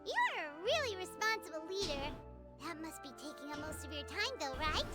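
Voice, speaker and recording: A woman voicing a small, bubbly, eager childlike companion in a video game, with a squeaky voice. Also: sing-song, fairly quick. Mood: admiring. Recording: quiet game audio under tinkling music.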